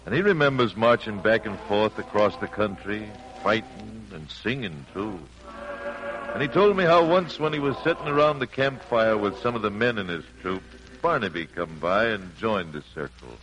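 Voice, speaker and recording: A man's voice: lowish voice, expressive, normal speed, fairly bright tone, lo-fi sound. An actor playing a homespun storyteller, narrating his father's tales in a 1940s radio drama. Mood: reminiscing. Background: music.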